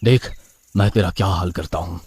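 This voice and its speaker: A man's voice: deep voice, normal speed, darkish tone, breathy edge, dry recording. A man voicing a lecherous, threatening young village ruffian in a cartoon story. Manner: threatening, leering. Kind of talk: Hindi cartoon story voice acting.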